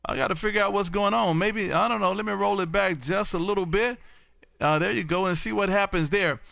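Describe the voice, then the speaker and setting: A man's voice: high voice, a little fast, gravelly, a bit bright, dry recording. An American man hosting an amateur radio live stream in a folksy, repetitive patter, chatting casually with callers. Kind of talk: lively ham radio live stream chat.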